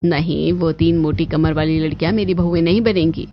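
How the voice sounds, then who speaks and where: A woman's voice: sits low, steady tone, a little fast, dull audio, a little hiss or hum. A woman voicing a stern, scornful middle-aged mother-in-law in a family story, scolding and sarcastic. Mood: indignant. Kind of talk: dramatized moral family story voice acting.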